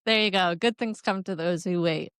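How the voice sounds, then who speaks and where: A woman's voice: lowish voice, moderate pace, fairly bright tone, clean tone, no echo, studio quality. A woman hosting a podcast, interviewing a friend in a friendly way and laughing often. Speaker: podcast host. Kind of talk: casual interview.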